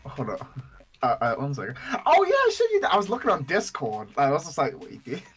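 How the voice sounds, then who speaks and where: A man's voice: wildly swooping pitch, fairly quick. A man chatting on a call, teasing and laughing a lot. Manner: giggly, teasing, swooping pitch. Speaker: guest and video editor. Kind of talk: casual chat on a call.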